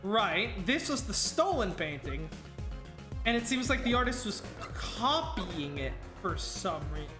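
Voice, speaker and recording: Woman, Wisconsin accent, let's play commentary, wry, thinking aloud, lively pitch, roomy sound, game music. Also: measured pace. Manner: chatty, wry, thinks out loud.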